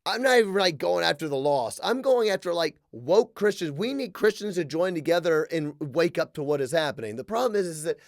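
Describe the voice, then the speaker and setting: A man in his thirties hosts his own podcast, talking fast and animated with a sarcastic edge. His voice sits fairly high for a man. The sound is close and dry, with almost no room echo. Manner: animated, sarcastic, quick to joke. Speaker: podcast host. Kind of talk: casual solo podcast rant. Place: small room with wood-plank wall.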